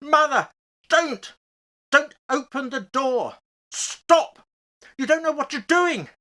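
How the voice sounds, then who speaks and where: Slow, wildly swinging, fearful older husband played by an actor. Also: male voice, very high-pitched, expressive, noisy background, heavily acted. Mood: panicked. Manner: hesitant, agitated, dramatic. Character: fearful older husband and father, agitated, horrified, pleading. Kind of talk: radio play horror acting.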